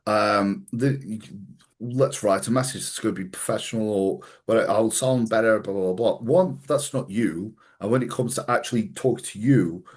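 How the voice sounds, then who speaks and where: A man hosting a casual podcast chat, swearing freely and telling animated stories with quoted voices. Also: fairly low pitch, moderate pace, slightly rough. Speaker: podcast host and coach.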